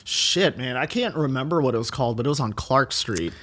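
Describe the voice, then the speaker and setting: Chef guy, 30s, rambles, tons of likes and ums, gets animated. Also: mid-range voice, a little fast. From Chicago, USA. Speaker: guest chef. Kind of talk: casual interview chat.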